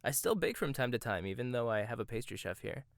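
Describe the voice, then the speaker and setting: Man, ASMR roleplay, intimate, soft, hesitant, teasing, mid pitch, dry close mic. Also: animated, quick pace, creaky, no echo.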